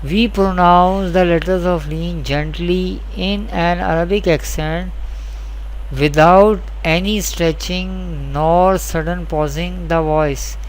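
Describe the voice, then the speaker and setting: A teacher giving a scripted Quran recitation lesson, explaining deliberately through repeated questions and answers, with spelled-out examples. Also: mid-range voice, fairly even intonation, slight background noise, poor audio.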